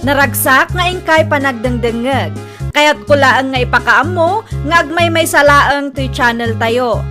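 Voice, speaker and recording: A woman announces a radio drama in an Ilocano accent, her voice very high and stretched out in a presentational style. Loud music plays behind her. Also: a little slow. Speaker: programme announcer.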